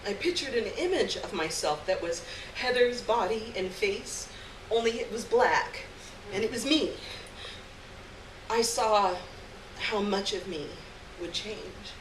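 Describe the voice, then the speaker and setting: Slow-paced woman reading aloud, distant and roomy. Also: mid-range voice. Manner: expressive, acting out the dialogue. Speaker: story reader. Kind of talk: live dramatic reading of literary short fiction. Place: live stage event, recorded from a distance. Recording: roomy distant live recording, clear background noise.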